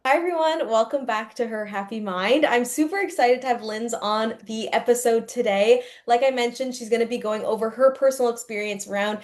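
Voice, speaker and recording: A woman's voice: fairly high pitch, fairly quick, few pauses. A woman hosting a podcast, opening the episode and asking questions in a casual, conversational way, often using "like" as a filler. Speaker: podcast host.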